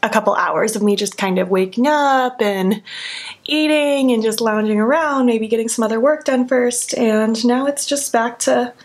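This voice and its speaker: A young woman in a casual makeup tutorial, talking softly with a sleepy early-morning voice and narrating each step as she works. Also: fairly high pitch, moderate pace. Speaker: beauty vlogger. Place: at home.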